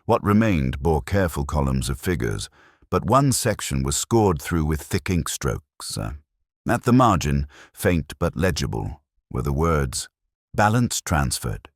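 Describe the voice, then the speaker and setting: Very deep-voiced man narrating detective fiction. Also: sing-song, a little slow, no room sound, studio quality. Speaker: audiobook narrator. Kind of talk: scripted first-person detective story narration.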